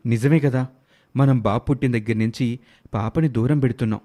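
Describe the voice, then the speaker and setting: A man voicing an earnest, protective young father in an animated moral story. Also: medium pitch, brisk, pitched below the performer's own voice, natural voice. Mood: apologetic. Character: protective, soft-hearted young father and husband.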